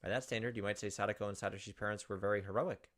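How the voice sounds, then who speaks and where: A man's voice: lowish voice, fairly even intonation, racing, fairly bright tone. A young man hosting a gaming video, reading a visual novel aloud with casual asides.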